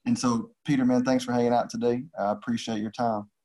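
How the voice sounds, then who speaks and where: Laid-back male podcast host, flat mellow voice. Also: medium pitch, quick pace. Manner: laid-back, slangy, frequent fillers. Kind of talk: casual interview hosting.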